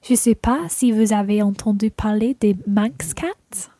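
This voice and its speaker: A woman's voice: mid-range voice, a little slow, dark tone, slight background noise, lightly acted. A woman playing a friendly cat owner chatting over dinner in a scripted lesson radio play. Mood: chatty.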